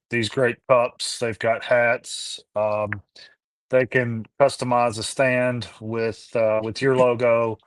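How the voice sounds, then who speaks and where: A man hosting a podcast, talking in a slow, hesitant way with lots of fillers while reading a sponsor spot and chatting. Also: on the low side, no room sound. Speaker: podcast host. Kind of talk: casual podcast chat with sponsor read.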